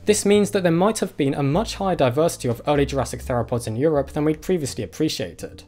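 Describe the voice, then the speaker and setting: A young man presenting scripted science news in a lively, clear delivery. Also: fairly high pitch, fast talker, soft background music. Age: young adult. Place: room with bookshelves and dinosaur models.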